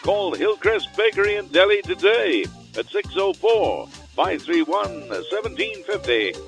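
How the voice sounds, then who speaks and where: A man's voice: high voice, sing-song, a little slow, vocal fry. A man reading a scripted radio commercial as an announcer. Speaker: advertisement announcer. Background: music bed.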